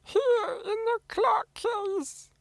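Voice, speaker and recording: Man putting on high, slow little goat kids. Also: gravelly, dry recording, full character voice. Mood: tearful. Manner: slow, emphatic, childlike delivery. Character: little goat kids, wary, innocent young children.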